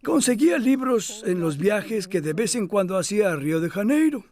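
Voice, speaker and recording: A man dubbing an elderly male scholar who recalls his past calmly, in measured speech with hesitant pauses. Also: high-pitched. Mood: reflective. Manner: measured, thoughtful, with hesitant fillers. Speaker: dub voice of an interviewee. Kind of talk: voice-over dub of an interview.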